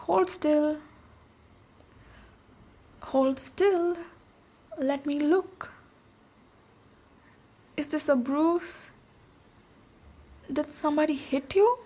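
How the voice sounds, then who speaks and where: High-voiced, unhurried ogre warrior woman played by an actress. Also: warm tone. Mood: concerned. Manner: unhurried, deliberate, pausing between phrases. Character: boisterous female ogre warrior, protective of her human servant. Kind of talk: ASMR roleplay, storytelling and direct address.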